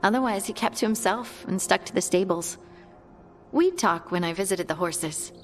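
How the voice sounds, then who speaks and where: Actress as warm young scout lady, chatty and sincere, mid-pitched, soft music under it, video game dialogue. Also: moderate pace, lightly acted. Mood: fond, chatty. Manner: chatty, friendly, lightly amused. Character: warm, earnest young female scout, fond and reflective. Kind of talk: fantasy video game acting, conversational.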